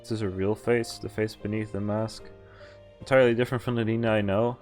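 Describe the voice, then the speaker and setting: A man's voice: lowish voice, fairly even intonation, fast, a little mellow, faint music behind. A man streaming a visual novel, reading its text aloud and dropping in casual reactions.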